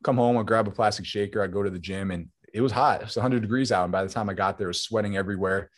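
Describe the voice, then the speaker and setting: A man in his late twenties, a former pro football player now in business, telling stories in a relaxed, rambling way and often saying "man". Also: fairly low pitch, rapid-fire. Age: 20s. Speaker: guest, former football player turned entrepreneur. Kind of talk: casual interview storytelling.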